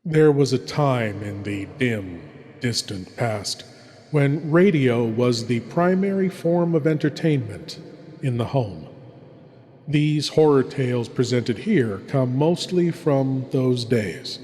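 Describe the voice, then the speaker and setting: Male horror host, measured and dramatic, slow delivery, big reverberant hall sound. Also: mid-range voice, animated. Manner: slow, deliberate, dramatic, hushed at phrase ends. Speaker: host of an old-time radio horror show. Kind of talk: theatrical horror host scripted narration.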